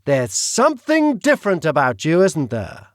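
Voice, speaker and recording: A man voicing a grandiose, menacing duke with a British accent, slow and theatrical. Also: fairly high pitch, huge pitch swings, no room sound, clean studio sound, voice pushed up. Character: suave menacing male duke, grandiose villain.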